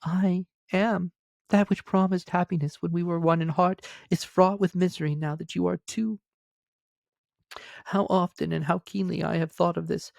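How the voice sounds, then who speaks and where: Man voicing a soft, sorrowful young woman, high-pitched. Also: creaky, no room sound. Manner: soft, earnest, measured. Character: gentle, sorrowful young woman releasing her betrothed.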